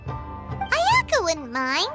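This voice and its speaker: Squeaky, excitable tiny fairy girl. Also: very slow, heavily acted. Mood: cheerful. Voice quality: squeaky childlike voice. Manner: perky, talks of herself in third person. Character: tiny, excitable, childlike flying girl companion. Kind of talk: anime-style video game voice acting. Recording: music playing behind.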